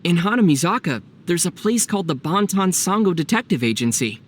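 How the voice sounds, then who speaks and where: A man playing a gentle, thoughtful young adventurer in a video game, calm and lightly teasing. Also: high-pitched, fairly quick, background noise. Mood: matter-of-fact.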